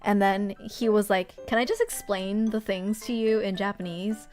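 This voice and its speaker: A chatty woman talks easily with her viewers while she organizes stationery, rambling from one thought to the next and breaking into laughs. Her voice sits at an ordinary middle pitch. Soft music plays quietly underneath. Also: moderate pace. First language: Korean. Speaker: streamer. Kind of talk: casual livestream chat while organizing.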